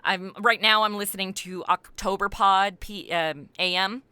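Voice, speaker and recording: Middle-aged female podcast guest, forward bright tone in a dry, close recording, informal and animated. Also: mid-range voice, moderate pace, clear, resonant tone, no echo. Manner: animated, self-deprecating, acts out quoted remarks. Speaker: podcast creator and voice actor, interview guest. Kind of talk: casual interview chat.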